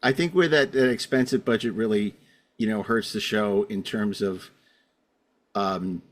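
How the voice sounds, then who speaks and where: A man's voice: lowish voice, a little slow. A man hosting a casual panel podcast, wordy and opinionated, with dry asides as he moderates. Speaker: podcast host.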